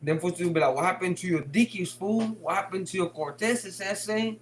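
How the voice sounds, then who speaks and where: Brief: Bay guy hosting a live stream, slangy, cussing, a bit high-voiced, light hum behind. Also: fairly quick. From San Francisco Bay Area. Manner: slangy, swears, laughs a lot. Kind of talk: casual live chat reading comments.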